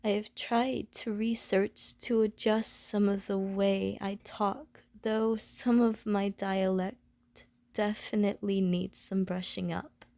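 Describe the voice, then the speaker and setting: A woman playing a gentle, anxious, lonely Medusa who is wary of humans, speaking in careful, formal wording for an ASMR audio roleplay. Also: medium pitch, vocal fry, no echo. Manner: formal, careful, stilted wording, pleading and apologetic.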